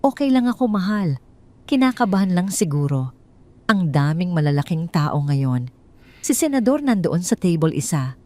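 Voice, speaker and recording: A woman voicing a nervous middle-aged husband who forces calm and talks evasively in an audio drama story. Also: fairly low pitch, normal speed. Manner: anxious, evasive.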